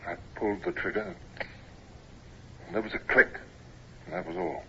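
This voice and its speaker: An actor playing an older naval captain who narrates memories of his youth in a measured, dramatic radio style. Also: low voice, fairly bright tone. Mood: tense. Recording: muffled, noisy old radio recording.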